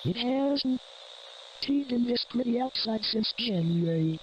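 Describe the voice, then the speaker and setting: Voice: mid-range voice, expressive, slow, a little hiss or hum. A performer voicing a cheerful blue gorilla in a children's cartoon, sing-song and childishly enthusiastic, in a lo-fi clip. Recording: dull, lo-fi clip with music. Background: music.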